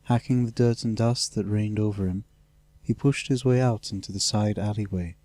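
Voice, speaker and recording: A man's voice: fairly low pitch, steady tone, moderate pace, dark tone, no echo. A man reading scripted fantasy narration as an audiobook narrator.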